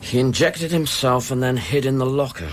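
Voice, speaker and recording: An actor playing a sober, suspicious investigator in a radio play, pressing with short, clipped questions. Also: male voice, medium pitch, expressive, moderate pace, slight background noise, natural voice. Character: probing, insistent male investigator, sober and suspicious. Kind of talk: radio drama acting.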